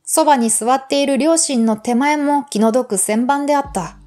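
A woman with a fairly high voice reads literary prose aloud like an audiobook narrator. Her delivery is measured and articulate, and music plays behind her. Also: mellow. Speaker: audiobook reader. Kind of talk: scripted literary reading. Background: background music.

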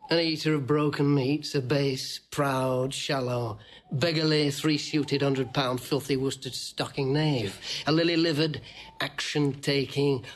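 A man's voice: mid-range voice, close to an everyday voice. An actor playing a blunt, hot-tempered grey-bearded nobleman who rails and insults in a declamatory Shakespearean audio drama. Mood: contemptuous railing.

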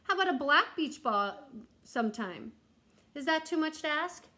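A woman's voice: high-pitched, lively intonation, normal speed, sharp tone, pitched above the performer's own voice. Woman as a weary, complaining crayon from a storybook. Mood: aggrieved. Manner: complaining, pleading. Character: weary, underappreciated crayon airing grievances.

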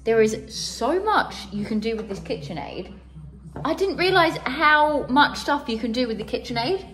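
A woman's voice: on the high side, animated, normal speed, poor audio. An enthusiastic woman in her thirties chatting casually for a home vlog, recorded indoors on a handheld device. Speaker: vlogger. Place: living room and kitchen at home, indoors. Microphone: handheld phone or camera, near.

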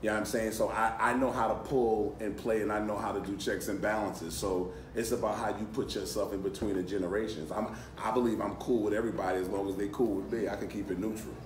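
Deep voiced guy chatting on a handheld mic, echoey room, phone recording from across the room. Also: fast, hardly any pauses. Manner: relaxed, repeats phrases, conversational. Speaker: panelist in audience. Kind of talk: casual discussion at a conference. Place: hotel conference room, seated at a table. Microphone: handheld mic, recorded by a phone camera across the room. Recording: echoey room, from far off, noisy.

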